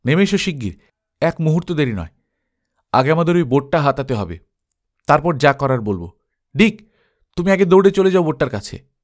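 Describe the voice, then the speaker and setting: A man's voice: on the high side, sing-song, moderate pace, pauses often. A man voicing a cautious male adventurer in an audiobook, with an urgent, commanding manner. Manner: urgent, hushed at times, commanding. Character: cautious German adventurer giving urgent orders.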